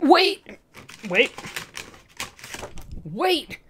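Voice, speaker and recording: A woman's voice: high voice, very animated, extremely slow, fairly bright tone, slight background noise, pitched above the performer's own voice, full character voice. A woman playing an embattled young male superhero, urgent, defiant and protective, in a story reading. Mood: desperate. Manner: snarling, urgent.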